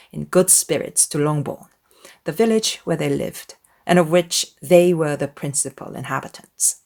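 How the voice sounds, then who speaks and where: A woman narrates a classic novel as a dramatized audiobook. Her voice is a little low, and she reads in a literary storytelling style.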